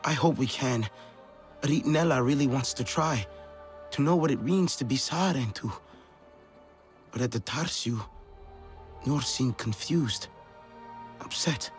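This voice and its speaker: A male actor plays a young alien warrior in a video game drama. His voice is average in pitch, sincere and a little questioning. Faint music sits behind it. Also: a little slow, pauses often, close to an everyday voice. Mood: wistful. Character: earnest young Na'vi man, orphaned trainee warrior.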